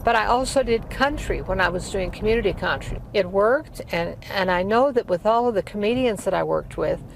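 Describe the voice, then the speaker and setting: A woman's voice: mid-range voice, brisk, creaky, slight background noise, low-quality recording. A woman in her eighties, a singer, telling her life story in a chatty, rambling interview, with many restarts. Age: 80s. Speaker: guest, singer.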